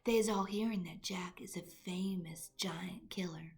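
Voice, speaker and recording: A woman voicing a big friendly male giant who whispers in hushed tones with mangled grammar. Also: on the low side, measured pace. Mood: explaining.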